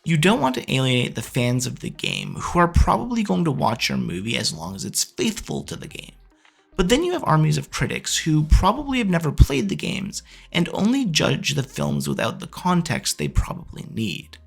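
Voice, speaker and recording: A man's voice: on the high side, huge pitch swings, moderate pace, vocal fry, breathy edge, soft background music. A man in his thirties presenting scripted opinion commentary as a host, often posing questions and answering them himself. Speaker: video essayist and host.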